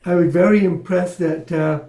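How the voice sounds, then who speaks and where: A man moderates a talk over a video call in an easy, chatty way. His voice sits a bit high but sounds mellow. The room has an echo. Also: moderate pace. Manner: relaxed and chatty, laughs lightly.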